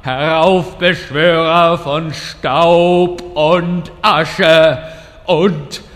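Sulky giant fire lord, grandiose, slow declaiming, bright sharp tone, parody fantasy radio play. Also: male voice, on the high side, steady tone. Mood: pompous, indignant. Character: huge pompous fire lord, theatrical, whiny and childishly hurt. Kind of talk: comedic fantasy audio drama parody. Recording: long hall reverb.

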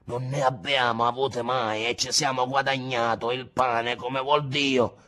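A man voicing a weary, grieving old fisherman grandfather in an audiobook, speaking slowly and pleadingly. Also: medium pitch, level tone, sharp tone. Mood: resigned. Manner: slow, pleading, subdued. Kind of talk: audiobook character reading.

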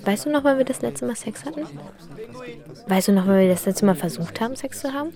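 A woman's voice: fairly high pitch, expressive, fast, mellow, some chatter behind. An actress playing a frustrated, reproachful girlfriend, insistent and accusing in naturalistic radio play dialogue.

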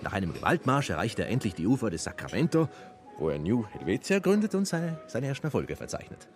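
An actor playing an ambitious, self-assured film director from the mountains in a radio drama. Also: male voice, fairly low pitch, wildly swooping pitch, fast, soft background music. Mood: enthusiastic.

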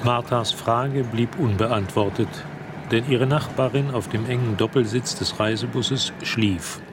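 An actor serving as the composed storyteller of a radio play, reading scripted literary narration. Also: male voice, medium pitch, a little slow, noisy background, lightly acted.

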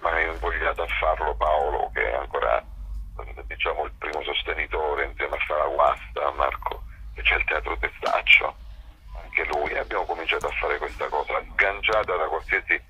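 Male interviewee, festival director. Bottom-register gravelly husk with a hard, piercing edge, rambling and keen, heard down a phone line. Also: rumbling low, fairly even intonation, normal speed, pushed and bright. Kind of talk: casual spoken interview.

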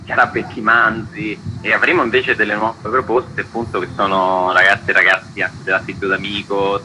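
A man's voice: on the low side, bright, forceful tone, slight background noise, rough recording. A man talking enthusiastically and conversationally in a radio interview as an event organiser. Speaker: festival organiser being interviewed.

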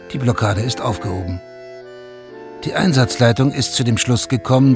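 Male actor as sober storyteller, lowish pitch, factual radio drama narration, light music underneath. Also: a little mellow, natural voice. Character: sober omniscient storyteller of a crime chronicle.

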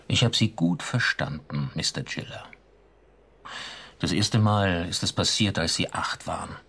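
An actor playing a composed male psychiatrist in a radio drama, measured, probing and soothing in a clinical way. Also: deep voice, slightly rough, heavily acted.

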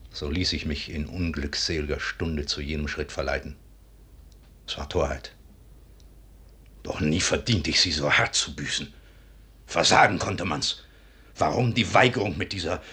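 An actor playing a proud, wounded, bitter army colonel in a 1950s German radio drama, declaiming intensely with a husky, raspy voice. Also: male voice, extremely low pitch, bright, forceful tone, full character voice. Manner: declamatory, intense, sinking to harsh whispers.

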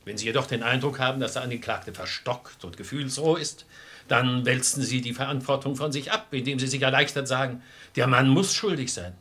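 Actor as a composed, probing defence lawyer. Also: male voice, mid-range voice, a bit bright, close to an everyday voice. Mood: urgent, steadying. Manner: formal, deliberate, probing. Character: composed, resolute male defence lawyer in a murder trial. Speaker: actor in crime radio play. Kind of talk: classic German crime radio drama acting.

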